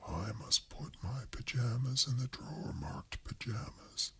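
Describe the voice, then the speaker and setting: A man voicing a meek, henpecked ghostly husband, dutifully reciting in a breathy whisper for a radio play reading. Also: medium pitch, expressive, a little slow, fairly bright tone, heavily acted. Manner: dutiful, listing.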